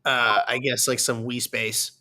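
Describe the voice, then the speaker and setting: A man's voice: medium pitch, flat delivery, measured pace, sharp tone, slight background noise. A man in his thirties hosting a podcast, chatting casually as he interviews a guest. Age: 30s. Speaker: podcast host.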